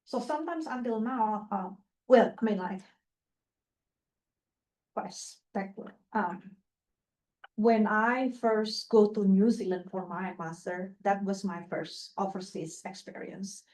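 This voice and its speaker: A woman's voice: medium pitch, slow pace, a little mellow, low-quality recording. A woman who does not speak English natively, giving an informal talk with slides, chatty and full of fillers and restarts, laughing often. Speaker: guest speaker, faculty member.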